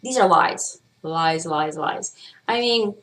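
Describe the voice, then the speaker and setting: A woman's voice: fairly low pitch, slow pace, fairly bright tone. A woman hosting her own vlog, chatting casually and candidly, rambling with frequent swearing and playfully trying out different voices. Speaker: vlog host. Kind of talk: casual chat to camera.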